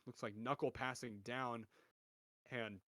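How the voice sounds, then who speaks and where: A young man with a deep voice streaming esports commentary from home, chatting with viewers and calling plays. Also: fairly even intonation, slow, no room sound, clean studio sound. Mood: excited. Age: young adult. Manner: rambling, chatty, restarts often, bursts louder on goals. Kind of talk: casual solo game commentary and chat reading. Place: home room.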